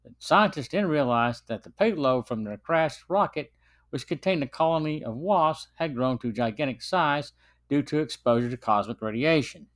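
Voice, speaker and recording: A middle-aged or older man hosting a podcast, reading a prepared review in a measured way with occasional small stumbles. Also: fairly high pitch, lively intonation. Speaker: podcast host and film reviewer. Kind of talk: scripted podcast narration and review.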